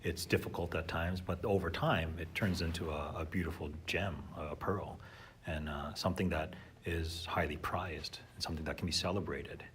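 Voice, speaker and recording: A man's voice: sits low, steady tone, normal speed, a bit bright, a little hiss or hum. A man, a teacher, talking earnestly and thoughtfully as a podcast guest. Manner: earnest, thoughtful, with fillers and restarts. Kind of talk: reflective conversational talk.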